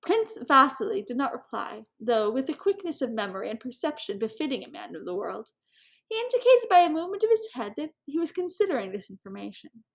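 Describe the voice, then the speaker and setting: A woman reading a literary novel aloud in an expressive, measured storytelling manner. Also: sits high, gravelly. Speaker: audiobook reader. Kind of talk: scripted literary narration.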